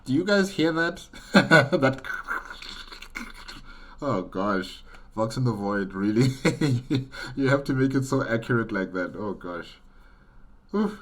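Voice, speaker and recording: A man's voice: mid-range voice, sing-song, takes it slowly, long pauses, a little hiss or hum. A man giving casual reaction commentary as host, musing and thinking aloud.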